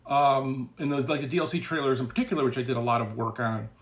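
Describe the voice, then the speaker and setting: A man's voice: mid-range voice, fairly even intonation, quick pace, highs cut off. A man speaking casually as a game developer, giving opinionated commentary in a blunt, sardonic, emphatic way.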